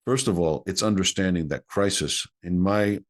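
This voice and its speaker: A man's voice: low voice, moderate pace. A man whose first language is Greek, an executive coach answering interview questions in an earnest, explanatory way.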